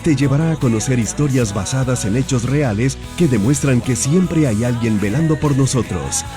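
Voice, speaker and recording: A man's voice: mid-range voice, normal speed. A man voicing a TV promo with dramatic announcer delivery. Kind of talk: TV promo voice-over. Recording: music bed under voice.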